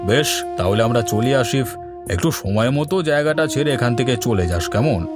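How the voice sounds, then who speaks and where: A man playing a scheming middle-aged village landlord in a cartoon, talking in a measured, patronising way with veiled threat. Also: medium pitch, expressive, natural voice. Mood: smoothly threatening. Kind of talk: Bengali cartoon story voice acting. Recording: music behind the voice.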